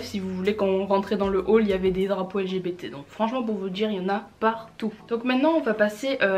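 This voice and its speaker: A young woman talks through a trip in a relaxed vlog, her voice sitting in an ordinary middle pitch. She stumbles often, fills gaps and corrects herself. Her tone stays casual and a little crude. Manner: chatty, hesitant, many fillers and self-corrections. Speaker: vlogger. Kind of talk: casual travel recap vlog.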